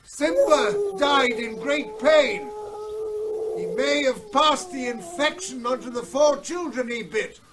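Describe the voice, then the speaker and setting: An actor playing an earnest veterinary surgeon in a radio drama, who talks urgently and persuasively. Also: male voice, very high-pitched, lively intonation, takes it slowly, background noise, heavily acted. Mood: pleading.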